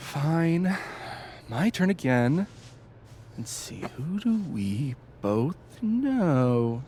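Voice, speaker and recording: Cheeky, slow-drawling young guy, animated delivery. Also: fairly high pitch, slight background noise, exaggerated voice. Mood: playful. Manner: teasing, drawling, playful. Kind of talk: naturalistic video game dialogue acting.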